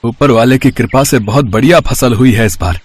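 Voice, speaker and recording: A man's voice: medium pitch, normal speed, husky, no echo, close to an everyday voice. A man playing a kind, earnest poor village farmer and husband in a moral-story cartoon. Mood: hopeful, pleased.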